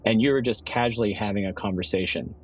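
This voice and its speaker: Man, husky, casual improvised storytelling, explanatory, halting, muffled lo-fi recording. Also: fairly low pitch. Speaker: guest tabletop game player. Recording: dull, slightly lo-fi microphone sound.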